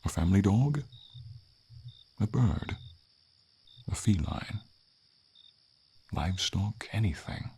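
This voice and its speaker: A man voicing an old, friendly silver dragon in an ASMR fantasy roleplay, solemn and tender, with a breathy rasp that often drops to a whisper. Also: fairly low pitch, lively intonation, slow pace, pauses often, dark tone, slight background noise, exaggerated voice. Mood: sorrowful. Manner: solemn, tender, formal storybook diction.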